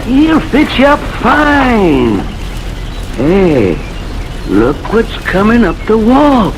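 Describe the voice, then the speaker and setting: An actor playing a friendly, chatty small-town neighbor in a radio drama, with a casual, folksy manner. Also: male voice, high voice, huge pitch swings, very slow, heavily acted. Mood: friendly, teasing. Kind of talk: 1970s radio drama acting. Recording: noisy old radio broadcast recording.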